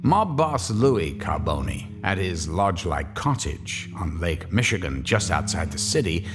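A man narrates a radio crime drama with a very deep voice that still rises and falls widely. He tells the scene in measured, vivid lines while music runs behind him. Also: sing-song.

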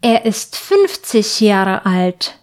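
Slow, patient woman teaching German. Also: medium pitch, big pitch swings. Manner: patient, carefully enunciated, pausing for the listener to repeat. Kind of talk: slow, clear language lesson with repetition drills.

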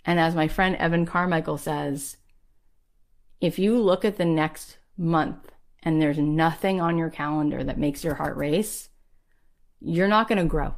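Low-voiced woman giving an emphatic pep talk. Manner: emphatic, rallying, conversational. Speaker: podcast host and speaker. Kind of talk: motivational talk.

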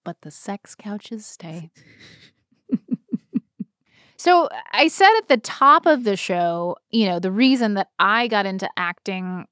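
A woman with a mid-range voice chats as a podcast co-host, recorded close and dry. She tells stories casually and comedically, animated, tossing in dramatic asides and the odd swear. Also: medium pitch, slow pace, long pauses, no echo.

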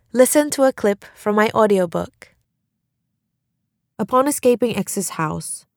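A woman's voice: mid-range voice, darkish tone, no echo. Reflective woman author, dry close sound. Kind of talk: reflective personal remarks and memoir reading.

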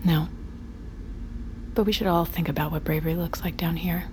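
An actress playing a calm, calculating and persuasive survivor in an intimate audio drama. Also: female voice, fairly low pitch, normal speed, very noisy, lightly acted. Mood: probing, intrigued.

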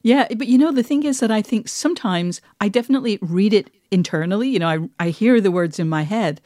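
A woman's voice: mid-range voice, lively intonation, a little fast, mellow, no echo, clean studio sound. A woman co-hosting a podcast, speaking casually and reflectively in a candid, self-deprecating way. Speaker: podcast co-host and writer.

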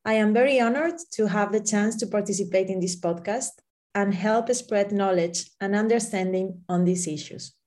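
A woman's voice: medium pitch, normal speed, darkish tone. Woman neurologist co-hosting. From Spain. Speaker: neurologist, co-host. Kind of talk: scripted podcast narration.

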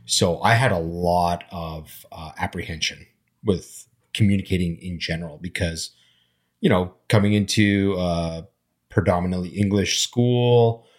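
Low husky man telling his story. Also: measured pace. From immigrant, grew up speaking Armenian and Arabic. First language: Armenian and Arabic. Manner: reflective, storytelling, frequent fillers. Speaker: panel guest. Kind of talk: casual conversation.

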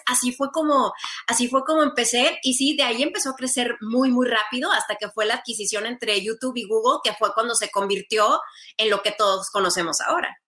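A woman in her thirties with a bright, forceful voice and a slightly high pitch. She is a makeup artist talking as a podcast guest, telling her story in a lively way with lots of fillers. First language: Spanish. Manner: animated storytelling, many filler "entonces". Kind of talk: interview conversation.

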